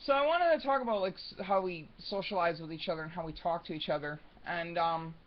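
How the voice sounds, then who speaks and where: A young man with a high, soft voice talking unscripted at home, rambling with many ums and restarts. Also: a little fast, a little hiss or hum. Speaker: vlogger. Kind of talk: unscripted casual monologue. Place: room at home. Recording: muffled lo-fi home recording.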